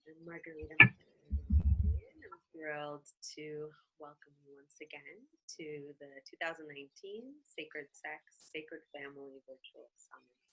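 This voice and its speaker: A woman hosting an online summit interview, enthusiastic and filling pauses with ums. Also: sits low, bright, forceful tone, slightly rough, rough recording. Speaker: summit host. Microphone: video call.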